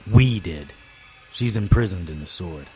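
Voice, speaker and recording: A weary, lovestruck occult investigator tells his case in a low voice. His delivery is measured and wry, in the manner of noir audio drama, with music running underneath. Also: expressive, mellow. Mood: somber, measured. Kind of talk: first-person noir audio drama narration and dialogue.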